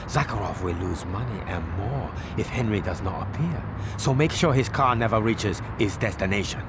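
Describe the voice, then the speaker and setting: An actor playing a stern, menacing crime boss in a game cutscene, giving orders in formal, measured words. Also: male voice, lowish voice, animated. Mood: commanding. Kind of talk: dramatic video game cutscene acting. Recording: loud ambient and vehicle noise.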